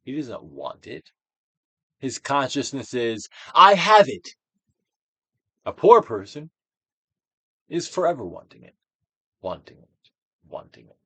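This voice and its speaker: Theatrical man reading with sweeping, animated pitch. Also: takes it slowly, long pauses, husky, no echo. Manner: theatrical, emphatic, with dramatic swells and hushed drops. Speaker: actor reading a self-help book aloud. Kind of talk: theatrical dramatic reading of scripted instructional text.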